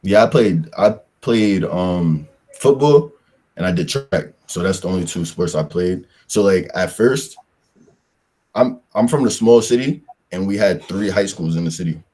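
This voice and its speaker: A young man with an extremely deep voice and a husky edge tells about his football and track years. His talk is loose and rambling, full of slang and "like" fillers, in a casual interview. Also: lively intonation, unhurried, darkish tone. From Pennsylvania. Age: young adult. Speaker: football player guest.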